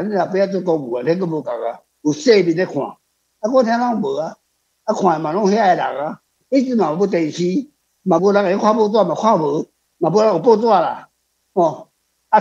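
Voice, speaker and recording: A man's voice: fairly high pitch, warm tone. A man with a Taiwanese accent chats casually in a rambling, colloquial way, repeating his phrases, on a slightly dull recording. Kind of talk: casual chat.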